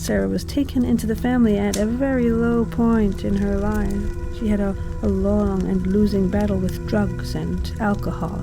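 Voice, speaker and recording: A woman playing a seductive, menacing captor in an audio drama, speaking in a controlled, deliberate way. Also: medium pitch, natural voice, rough recording. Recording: clear music under the voice.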